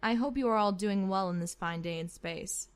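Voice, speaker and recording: A woman's voice: medium pitch, moderate pace, clear, resonant tone, natural voice. Haughty, menacing female admiral played by a woman. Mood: smug, mocking. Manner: mock-courteous, pompous. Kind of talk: scripted fan audio roleplay.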